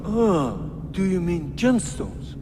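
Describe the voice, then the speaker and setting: A man's voice: high-pitched, big pitch swings, slow, full character voice. An actor playing a frightened, pleading working man, meek and plaintive, in a video game cutscene. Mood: curious, informative. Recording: long cavernous reverb, loud background noise.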